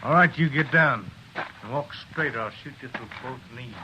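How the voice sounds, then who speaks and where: Actor as gruff western jailer, mid-pitched American man, laconic, folksy, hissy old radio sound. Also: normal speed, muffled recording, lightly acted. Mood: gruff warning. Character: gruff frontier jailer, tough and plainspoken. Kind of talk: 1950s radio western acting. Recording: noisy vintage radio recording.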